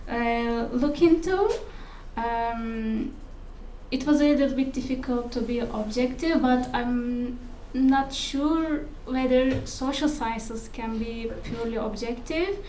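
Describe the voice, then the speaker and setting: An echoey recording of a woman with a Turkish accent on an academic panel. Her voice is fairly high and she speaks slowly, haltingly feeling for each phrase in a second language. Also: mellow. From Turkey. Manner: hesitant, halting, searching for words. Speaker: conference panelist, researcher. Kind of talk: panel question-and-answer. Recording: echoey room, distant mic, faint noise.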